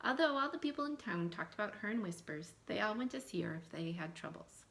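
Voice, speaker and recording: A woman's voice: mid-range voice, lively intonation, brisk, creaky, fairly bright tone. A woman giving school announcements and reading a storybook aloud to young children. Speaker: elementary school staff member reading aloud.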